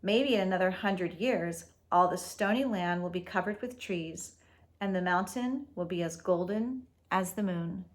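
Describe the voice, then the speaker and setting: A woman's voice: on the low side, unhurried. Woman reading a storybook aloud. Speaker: read-aloud host. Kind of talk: storybook read-aloud with casual asides.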